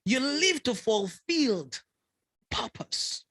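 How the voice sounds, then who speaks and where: A man's voice: very high voice, sing-song, extremely slow, a bit bright. A man preaching a sermon as a bishop, driving his points with repeated phrases and rising fervour.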